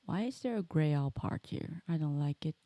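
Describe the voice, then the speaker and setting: Woman streamer, mellow, close dry sound. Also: sits low, animated, moderate pace, no echo. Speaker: game streamer. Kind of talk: casual live stream chat.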